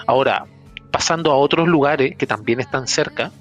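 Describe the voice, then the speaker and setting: A man with a Chilean accent, a podcast panelist who explains international news in a relaxed way and often restarts his phrases. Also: medium pitch, moderate pace, faint music behind. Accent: Chilean Spanish. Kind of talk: casual podcast news roundup.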